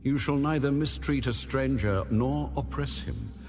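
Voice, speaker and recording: A man reading scripture as a scripted audio narration, formal, solemn and measured in delivery. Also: medium pitch, a little mellow. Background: music under the voice.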